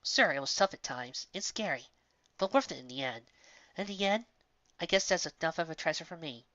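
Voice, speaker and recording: A woman's voice: low-pitched, lively intonation, a little fast, sharp tone, no room sound, muffled recording. Lady doing a polite young pony princess from a storybook, easygoing, modest, dry lofi mic. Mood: reflective. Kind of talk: storybook character voice.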